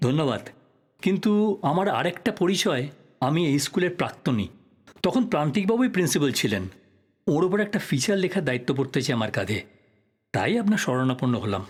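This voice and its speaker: An actor playing an earnest, persistent journalist in an audio drama, speaking politely and probingly in a husky voice. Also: male voice, fairly high pitch, very animated, brisk, long pauses. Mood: thoughtful, courteous. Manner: polite, probing, musing to himself. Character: earnest, persistent male journalist probing his old teacher's death. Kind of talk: Bengali audio drama acting.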